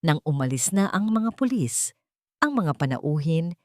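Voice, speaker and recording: A woman's voice: low voice, big pitch swings, measured pace, no echo. A woman reading a dramatic first-person story as an audiobook narrator.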